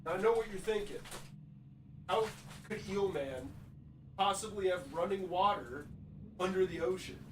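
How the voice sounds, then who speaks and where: Young male streamer, profane and jokey, slightly high voice, faint background hiss. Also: unhurried, long pauses. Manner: jokey, profane, talks to chat. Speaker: gaming streamer. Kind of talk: casual gameplay commentary.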